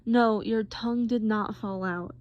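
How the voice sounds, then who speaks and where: A woman playing a gentle, patient boyfriend in acted-out roleplay, coaxing with pet names and simple, repeated reassurances. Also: a little high, fairly even intonation, unhurried, no room sound, highs cut off, natural voice. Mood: coaxing, worried. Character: gentle, patient boyfriend soothing a groggy partner.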